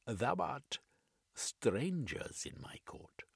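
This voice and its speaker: A man voicing an old, lonely undersea king who speaks in slow, archaic phrases. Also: medium pitch, sing-song, creaky, slightly rough, no room sound, studio quality, voice pushed up, heavily acted. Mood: imperious.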